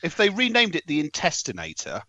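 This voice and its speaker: Wry british bloke on a podcast, higher-ish voice that goes all over the place, jokey chat. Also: male voice, huge pitch swings, brisk, pushed and bright, no echo, highs cut off. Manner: wry, dry humour. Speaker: podcast co-host and reviewer. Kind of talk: casual jokey banter.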